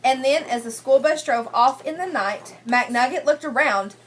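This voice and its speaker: A woman's voice: sits high, moderate pace, slight background noise. A woman reading a picture book aloud as a teacher, prompting children with questions and checking they follow.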